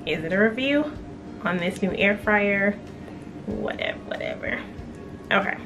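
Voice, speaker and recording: A woman's voice: medium pitch, unhurried, music in the background. A young woman vlogging casually from her home kitchen, chatty and self-deprecating, getting over a cold. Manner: relaxed, chatty, self-deprecating, many fillers. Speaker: vlogger reviewing an air fryer. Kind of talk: casual cooking vlog.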